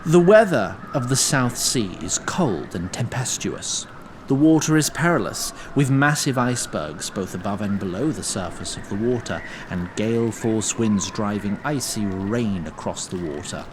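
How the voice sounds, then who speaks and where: Man playing a grandiose storyteller, animated pitch swings. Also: normal speed, noisy background, lightly acted. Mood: storytelling. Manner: grandiose, digressive, droll. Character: wry, rambling male storyteller of a fantasy tale. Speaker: narrator. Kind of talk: theatrical storybook narration with comic asides.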